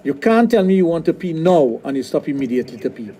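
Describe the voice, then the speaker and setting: A middle-aged man with a Trinidadian accent, a sexologist talking on a radio show, blunt and quick to restart his sentences. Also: fairly high pitch, big pitch swings, mellow, slight background noise. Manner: repeats words, restarts often, blunt. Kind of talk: casual radio talk. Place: radio studio.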